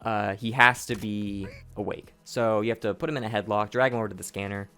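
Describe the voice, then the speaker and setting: Hoarse man explaining a speedrun. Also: fairly low pitch, steady tone, a little fast, fairly bright tone, soft background music. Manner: explanatory, rambling, with frequent restarts and profanity. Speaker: gameplay commentator. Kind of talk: casual speedrun commentary.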